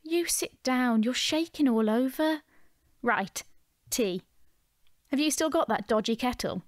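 An actress playing a caring, steady female friend in an audio drama, soothing and practical. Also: high-pitched, measured pace, no echo, studio quality.

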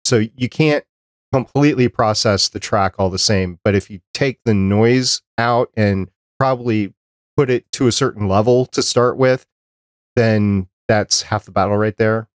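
A middle-aged man with a lowish voice hosts an interview podcast. He speaks conversationally, in a measured, explanatory way. The sound is dry and close, from a desk mic in a home studio. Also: no echo. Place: small home studio room, indoors. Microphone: close desk microphone with headphones.

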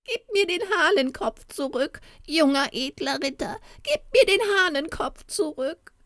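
A woman's voice: sing-song, unhurried, no room sound, clean studio sound, full character voice. A woman voicing a small male church goblin in a storybook, cheeky and mocking, then begging and wailing. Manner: squeaky and mocking, later whining. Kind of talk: storybook character voice.

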